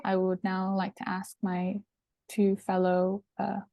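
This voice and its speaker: A woman's voice: medium pitch, monotone, slow, dry recording, highs cut off. A woman hosting a formal interview, polite and a little hesitant with fillers.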